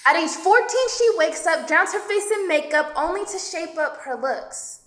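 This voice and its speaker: A woman performs spoken-word poetry in a high voice, her delivery rhythmic and assertive. She sounds defiant as she makes her point. Her lines echo around a roomy space. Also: animated, moderate pace, fairly bright tone.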